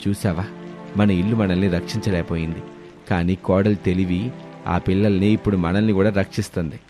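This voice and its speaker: A man's voice: deep voice, steady tone, brisk, music in the background, natural voice. A man voicing a kindly older father-in-law, plain-spoken, wry and approving, in a moral story. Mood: wry, relieved. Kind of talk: dramatised Telugu moral story.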